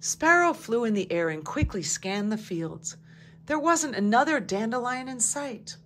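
A woman narrating a picture book aloud in a calm, even storytelling manner, dropping to a hush at quiet moments. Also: on the high side, a little slow, a little hiss or hum. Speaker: storybook reader.

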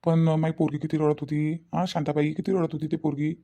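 A woman's voice: low voice, flat delivery, fairly quick, dark tone. A woman voicing a worried, protective middle-aged village father in a comedy cartoon, with a Varhadi Marathi accent and insistent, repetitive questioning.

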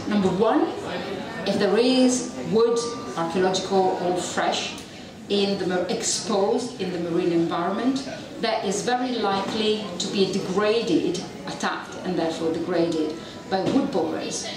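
A middle-aged woman with a strong Italian accent speaks into a handheld mic during a public talk, chatty and self-deprecating. The sound is very echoey, picked up from far off in the room, with an audience murmuring in the background. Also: mid-range voice. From Italy. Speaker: university researcher giving a public talk. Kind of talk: public lecture. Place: dark event venue with audience. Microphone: handheld mic, filmed from a distance. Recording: echoey venue recorded from afar, crowd present.

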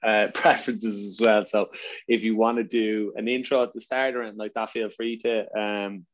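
A man with a strong Irish accent, chatting casually as a webinar presenter, talking fast with lots of fillers. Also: lowish voice, flat delivery, highs cut off. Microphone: video call.

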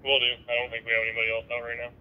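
A male emergency dispatcher talks over a two-way radio in short, clipped exchanges. His pitch moves little, and the sound is dull and band-limited with some noise. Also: monotone, very fast. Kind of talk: terse radio dispatch traffic. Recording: band-limited, noisy police scanner radio.

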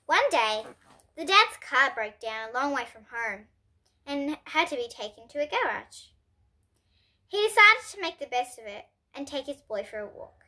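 A child reading a storybook aloud in an expressive, careful way, occasionally stumbling over words. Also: high-pitched, normal speed. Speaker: host of a children's book reading series.

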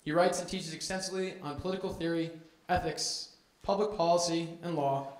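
Loud young man reading intros in a hall. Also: a little high, fairly even intonation, a little fast. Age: young adult. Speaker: student introducing speakers. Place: large lecture hall. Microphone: handheld microphone at a lectern. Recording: echoey lecture hall.

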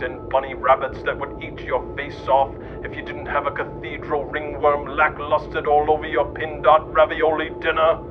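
A man's voice: mid-range voice, background noise. A middle-aged man reading scripted, satirical mock-documentary narration. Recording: muffled lo-fi narration under music. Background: music.

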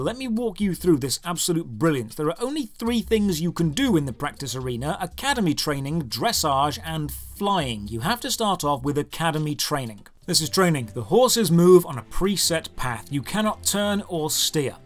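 Animated, high-pitched man narrating with sarcasm. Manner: sarcastic, mock-exasperated. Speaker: video game reviewer, host. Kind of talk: sarcastic scripted game review narration.

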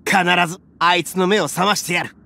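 A man voicing a boastful male oni in a game, rough, swaggering and big-hearted. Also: sits high, fairly even intonation, fairly quick, bright, forceful tone, a little hiss or hum, exaggerated voice. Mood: reassuring. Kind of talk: game voice acting. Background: game music.

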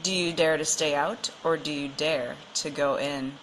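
A woman reads rhyming picture-book text aloud. Her voice is a little on the low side, with faint noise around it. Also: fairly even intonation, moderate pace, fairly bright tone, rough recording. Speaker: volunteer reader.

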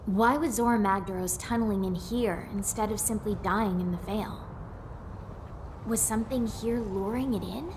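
An actress playing an earnest, curious young hunter in a video game cutscene, eager and inquisitive. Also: female voice, medium pitch, normal speed, noisy background, natural voice. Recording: game cutscene with loud music.

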